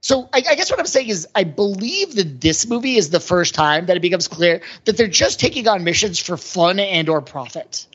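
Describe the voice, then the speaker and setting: A man's voice: high-pitched, very animated, moderate pace, hardly any pauses, a bit bright, slightly rough. Male podcaster, loose and meandering conversational delivery, working out thoughts aloud, somewhat dull audio. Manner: rambling, thinking aloud. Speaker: podcast host. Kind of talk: casual movie discussion.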